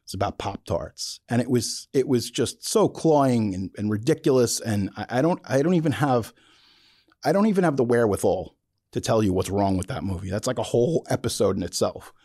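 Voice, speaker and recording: A man's voice: medium pitch, lively intonation, brisk, mellow, slightly rough, no room sound, clean studio sound. A man podcast host giving an opinionated, casual monologue in a blunt, emphatic, colloquial way.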